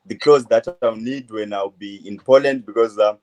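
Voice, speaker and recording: A man in his twenties from Zimbabwe, a medical student answering interview questions in a halting way, full of uh fillers. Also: fairly low pitch, a little mellow, rough recording. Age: 20s. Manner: hesitant, many uh fillers, halting phrases. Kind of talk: phone interview.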